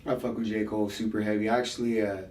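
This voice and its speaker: A man hosting a casual conversation about music, talking in a relaxed, slangy way and thinking his ideas through out loud. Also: on the low side, fast. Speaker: podcast host and rapper. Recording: roomy sound, mic set at a distance.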